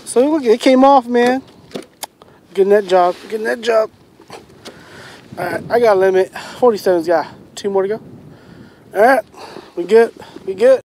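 A man vlogging while fishing on a lake, talking casually with lots of slang and excited exclamations. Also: high-pitched, unhurried, long pauses, a little hiss or hum. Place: outdoors on a boat on a lake.

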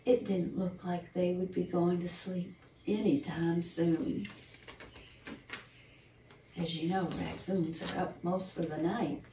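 An older woman reads a children's picture book in a gentle, unhurried, expressive bedtime style, talking to young listeners. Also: on the low side. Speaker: storyteller reading a picture book. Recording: roomy, distant mic, faint background noise.

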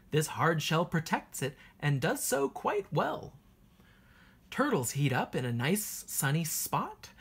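Husky, low-voiced woman reading to children. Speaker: teacher reading a children's book aloud. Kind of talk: expressive picture-book read-aloud for young children.

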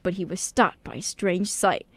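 A woman's voice: lowish voice, expressive, normal speed, gravelly, husky, dry recording. A woman hosting a radio show, talking in a casual, jokey, informal way. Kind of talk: casual radio chat and reading a synopsis aloud.

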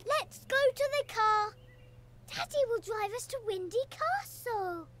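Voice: very high-pitched, expressive, a little slow, a bit bright, a little hiss or hum, heavily acted. A performer playing a cheerful, eager little girl pig in a children's cartoon game, childlike and exclamatory.

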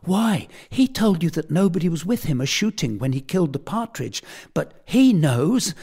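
A man voicing a young boy who tells tales on another boy in a whiny, sobbing high voice. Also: very animated, brisk, a little mellow, breathy edge, exaggerated voice. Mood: tattling. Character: tattling tearful young boy.

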